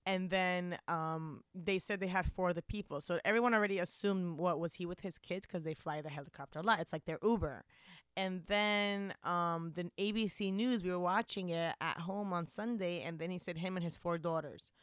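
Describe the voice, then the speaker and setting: A woman in her thirties with a New York accent, co-hosting a casual podcast and telling stories in a chatty, animated way. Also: lowish voice, normal speed, clear, resonant tone, dry recording, studio quality. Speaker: podcast co-host.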